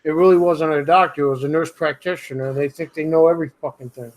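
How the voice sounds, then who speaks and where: A man's voice: on the high side, steady tone, quick pace, low-quality recording. Blunt, swearing man with Brooklyn-ish accent. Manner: blunt, swears freely, grumbling complaints. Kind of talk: casual late-night chat.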